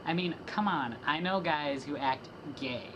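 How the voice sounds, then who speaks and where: A woman's voice: low voice, unhurried, dull audio, noisy background. A young woman doing a dramatic reading of rap lyrics as a student project, trading rhythmic lines with a partner. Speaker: student reader.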